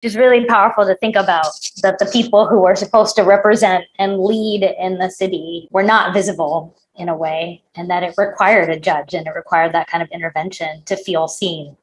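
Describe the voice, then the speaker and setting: An American woman moderating a panel, framing questions in a measured, reflective way. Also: on the low side, steady tone, rough recording. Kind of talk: thoughtful discussion moderating.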